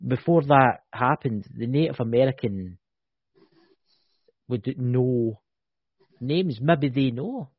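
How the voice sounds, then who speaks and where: Husky man musing aloud, dry lo-fi sound. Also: mid-range voice, slow pace, long pauses, no echo. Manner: animated, speculative, keeps asking "right?". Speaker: podcast co-host. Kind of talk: casual chat. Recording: dull lo-fi, dry.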